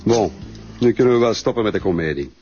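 An actor playing a sleazy, controlling photographer with a Flemish accent in a radio drama, smooth and commanding with an undertone of menace. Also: male voice, on the low side, lively intonation, fast talker, a little mellow, dull audio, faint music behind, natural voice. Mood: menacing, gloating. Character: sleazy, controlling male nude photographer, coaxing yet menacing.

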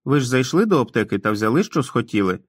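A man's voice: mid-range voice, big pitch swings, fast talker, breathy edge, no echo, clean studio sound. A man voicing a simple-minded, childlike grown man who talks eagerly and trustingly about himself. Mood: stubborn.

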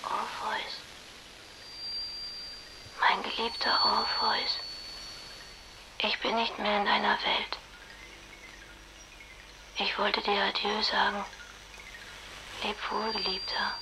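A woman's voice: mid-range voice, completely flat delivery, takes it slowly, long pauses, natural voice. A woman acting a departed beloved in a radio play, whispering tenderly and with subdued sorrow. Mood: sorrowful, tender. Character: departed beloved woman bidding farewell from another world. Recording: noisy ambience over a quiet voice.

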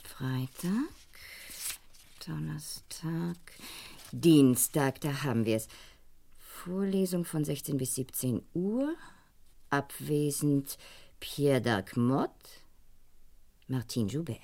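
A woman's voice: sits low, slow pace, pauses often, warm tone. An actress playing a composed middle-aged woman who runs a school office in a radio crime drama, speaking formally and precisely with a slightly haughty air. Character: composed, formal female art school administrator. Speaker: actor.

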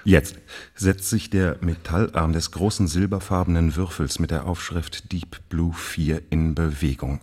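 A man acting as a gripped live commentator in a radio drama, suspenseful and dramatic with deliberate pauses. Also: very deep voice, a little mellow, slightly rough, full character voice. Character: male chess match commentator, gripped by suspense.

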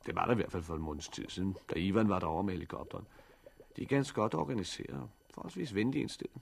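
Casting note: a weary survivor, very low gravelly voice, faint tape hiss. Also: male voice, expressive. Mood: calm. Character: weary adult male survivor and narrator. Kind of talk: 1960s radio drama acting.

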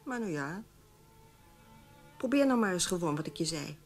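A frank, shrewd middle-aged female confidante, lowish voice, knowing delivery, radio play with music behind. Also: lively intonation, normal speed. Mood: shrewd, advising. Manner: knowing, advisory. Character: shrewd, frank former newspaper secretary and trusted confidante. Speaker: actor. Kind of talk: radio drama dialogue.

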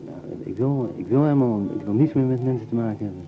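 A man's voice: medium pitch, quick pace, natural voice. A man playing an anxious, brooding young man in a radio play, hesitant and stammering, repeating his words. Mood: brooding, fed up. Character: anxious, brooding young Dutchman fleeing people, troubled by women. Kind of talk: naturalistic radio play dialogue and muttered monologue. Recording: dull lo-fi tape with music.

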